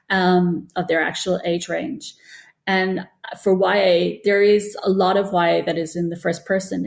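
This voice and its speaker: A woman's voice: on the low side, gravelly, a little mellow. A woman giving conversational interview answers as an author, explaining things in a discursive way, thinking aloud as she goes. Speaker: guest, children's author and former TV producer.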